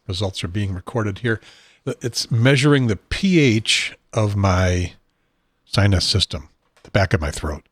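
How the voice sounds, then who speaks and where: Husky-voiced man rambling, clearing his throat. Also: lowish voice, measured pace, no room sound. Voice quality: husky, unsteady voice. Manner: rambling, self-deprecating, frequent throat clearing. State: has a probe in his nose. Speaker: podcast host. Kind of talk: rambling solo talk show. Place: home studio at a desk.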